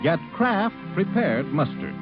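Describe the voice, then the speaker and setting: Male old-time radio ad announcer, highish pitch, very animated, punchy sell. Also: unhurried, music in the background. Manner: punchy salesmanship. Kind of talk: 1940s-50s radio advertising. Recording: muffled old radio recording.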